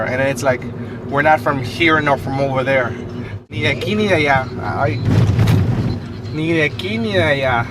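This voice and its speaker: A man's voice: medium pitch, background noise. Mexican-American man, rambling fighter interview. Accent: Mexican-American English. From US-Mexico border region. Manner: rambling, repeats words, many fillers. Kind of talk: documentary interview.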